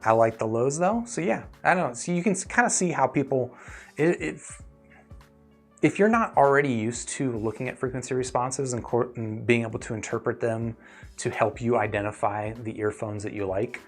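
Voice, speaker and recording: A man's voice: mid-range voice, moderate pace, faint music behind. A man hosting a live stream, talking casually and explaining his thinking to viewers in a conversational way. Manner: conversational, explanatory, often checking in with "right?". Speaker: host of an earphone review live stream.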